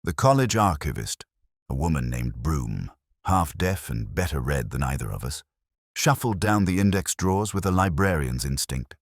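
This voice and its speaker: A man narrating a first-person detective story in a measured, brooding, literary manner, in short deliberate phrases. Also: a bass voice, very animated, no echo, studio quality. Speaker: audio drama narrator.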